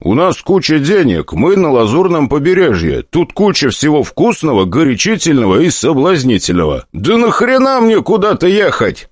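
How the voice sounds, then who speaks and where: A man's voice: mid-range voice, moderate pace, few pauses, no echo, studio quality, voice pushed up. A man voicing a brash young man eagerly talking a friend into partying. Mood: excited. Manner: excited, pushy.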